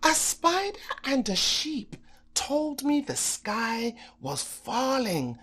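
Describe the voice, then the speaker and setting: A woman's voice: high voice, big pitch swings, slow pace, a bit bright, breathy edge, full character voice. A woman voicing a grumbling, gullible male lion in a storybook, in an emphatic, blustering manner.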